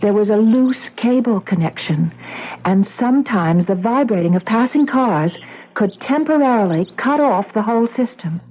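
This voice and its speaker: Concerned, persuasive woman for vintage radio play: higher pitch, earnest, dull recording. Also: lively intonation. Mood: gentle, careful. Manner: earnest, gently persuasive, halting. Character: caring, reasoning woman soothing a troubled man. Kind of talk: 1970s radio drama acting. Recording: dull, noisy 1970s radio broadcast.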